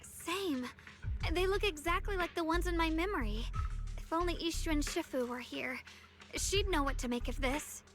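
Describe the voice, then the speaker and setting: A gentle young swordswoman from an anime-style game. Her voice is extremely high and sweet, and she speaks with polite, soft-mannered earnestness. Faint music plays behind her. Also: moderate pace. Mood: worried.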